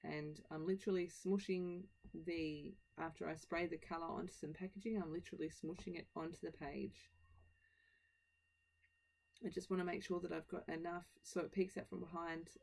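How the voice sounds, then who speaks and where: Aussie woman with a cold, low gravelly croaky voice, chatty rambly voiceover at home. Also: flat delivery, moderate pace, darkish tone. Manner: chatty, self-deprecating, rambling asides. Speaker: scrapbooking channel host. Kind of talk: casual process-video voiceover.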